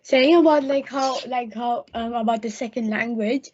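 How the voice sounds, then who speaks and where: A teenage student whose first language is Urdu, speaking haltingly and searching for words on a video call. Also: female voice, on the high side, a little mellow. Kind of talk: casual video-call discussion. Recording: dull lo-fi laptop audio.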